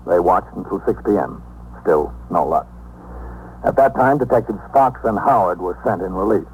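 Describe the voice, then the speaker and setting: An actor playing a dry, tough police captain, matter-of-fact and clipped in the style of a 1950s radio drama. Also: male voice, low voice, animated, normal speed. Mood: factual, dry. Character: dry, tough New York police captain narrating cases. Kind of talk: factual scripted narration, 1950s radio acting. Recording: noisy, humming 1950s radio recording.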